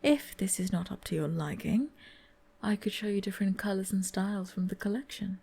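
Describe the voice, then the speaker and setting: Woman doing a polite sneaky maid, mellow and raspy, whispers a lot, asmr drama. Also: medium pitch, a little fast. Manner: soft-spoken, whispered, teasing. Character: female servant maid, polite and sly. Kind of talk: ASMR roleplay audio drama.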